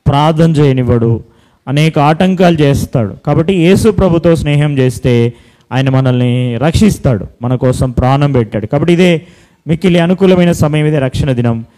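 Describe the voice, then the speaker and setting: Mellow man leading children's Bible school. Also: fairly high pitch, moderate pace, poor audio. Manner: instructing, repeats simple commands and questions. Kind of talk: preaching to children and leading prayer.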